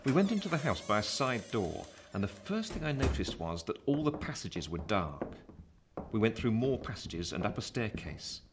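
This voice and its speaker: Male actor as storyteller of boyhood memories, lowish voice, literary radio play narration, faint background noise. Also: sing-song, normal speed. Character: grown man narrating memories of his boyhood.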